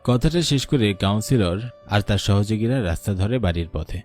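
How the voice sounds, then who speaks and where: A man's voice: lowish voice, lively intonation, brisk, a little mellow, soft background music. A man narrating a scripted horror story as the narrator of a cartoon.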